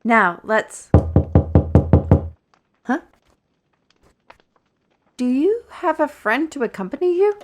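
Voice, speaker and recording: A woman playing a feisty, proud fantasy artist in an audio roleplay, chatty and indignant, with theatrical, expressive delivery. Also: sits high, slow pace, long pauses, noisy background, full character voice. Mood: surprised, wry.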